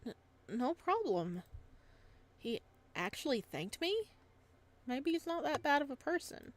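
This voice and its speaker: A woman's voice: high voice, sing-song, measured pace, pitched above the performer's own voice. Woman voicing a nervous, flustered young man. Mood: startled, nervous. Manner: nervous, awkward, earnest. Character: timid, easily flustered young male student with a crush.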